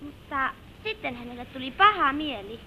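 A woman's voice: sits high, expressive, bright, forceful tone, heavily acted. A female performer playing an eager, curious little girl who earnestly joins in her grandfather's stories in an old-time radio drama. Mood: sympathetic. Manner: childlike, eager, earnest, interjecting. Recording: noisy vintage radio recording.

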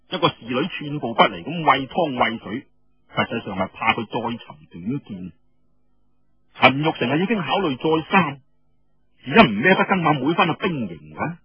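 A man narrating a dramatic traditional story in an expressive, emphatic way, with a Cantonese accent. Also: mid-range voice, husky. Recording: dull lo-fi radio sound with room echo.

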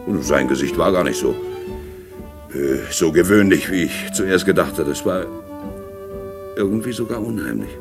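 A musing shady man, lively pitch. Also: moderate pace, long pauses, music in the background. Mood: brooding. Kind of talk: radio play narration, musing.

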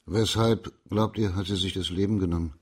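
A man's voice: low voice, fairly even intonation, a little fast, husky. An actor in a radio play voicing an authoritative, probing male judge of ancient China in formal, commanding speech. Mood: probing, courteous. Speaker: actor in a radio crime drama.

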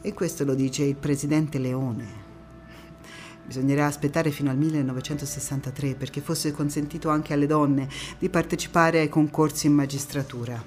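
A woman's voice: low-pitched, steady tone, normal speed, soft background music, natural voice. An actress performing a theatrical monologue as a firm, young female politician who moves between oratory and intimate recollection. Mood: reflective, subdued. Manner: impassioned oratory alternating with quiet intimate narration. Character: young female Constituent Assembly deputy, firm, indignant, reflective, ironic. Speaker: stage actress.

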